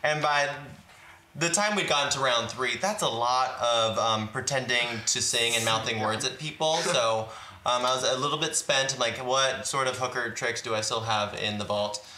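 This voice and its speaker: A very bright, forward male voice in a roomy space with faint noise. He talks casually in a wry, campy, slangy way, as a drag performer being interviewed. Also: medium pitch, moderate pace. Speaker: drag queen contestant on a reality competition.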